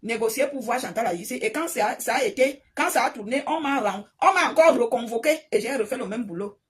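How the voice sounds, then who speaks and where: A woman's voice: fairly high pitch, brisk. A woman from Cameroon, giving an impassioned spoken monologue full of stammering restarts. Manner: animated, repetitive, stammering restarts. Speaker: online commentator.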